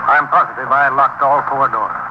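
Self-important male tourist played by an actor, mid-pitched, defensive, on a hissy vintage radio broadcast. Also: steady tone, moderate pace, lightly acted. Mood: indignant. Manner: indignant, defensive. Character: huffy, self-important married businessman, aggrieved tourist. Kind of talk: 1950s radio drama acting. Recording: noisy muffled 1950s radio recording.

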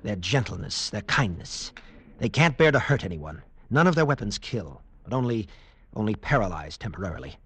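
An actor playing a haunted, defiant captive lieutenant, telling his ordeal with grave intensity and dramatic pauses in an old radio drama. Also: lowish voice, very animated, fairly bright tone, breathy edge, dry recording, full character voice. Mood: urgent, defiant. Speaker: narrator and protagonist. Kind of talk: dramatic first-person radio narration, 1940s-50s.

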